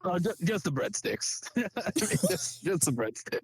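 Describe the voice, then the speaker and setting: Man putting on a high-pitched drunk, crude caller. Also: big pitch swings, normal speed, breathy edge, no room sound, full character voice. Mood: chummy, joking. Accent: inconsistent put-on accent. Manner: stammering, rambling, chuckling, exclaiming. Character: drunk, crude, chummy Greek-Italian janitor caller. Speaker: caller on a live comedy show. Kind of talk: improvised comic call-in.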